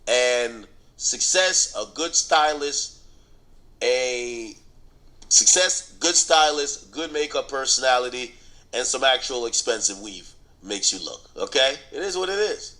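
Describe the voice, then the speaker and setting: A man's voice: mid-range voice, animated, a little slow, bright, forceful tone. A man giving casual, slangy commentary on celebrity gossip, bluntly and with repeated phrases for emphasis. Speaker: online commentator and host.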